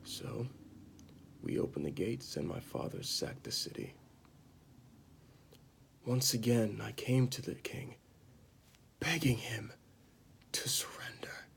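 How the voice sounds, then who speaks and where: A man playing a bitter disgraced knight confessing to killing a mad king, in a halting, dramatic amateur monologue that drops into whispers. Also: fairly low pitch, lively intonation, vocal fry, fairly bright tone, heavily acted. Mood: grave, confessional.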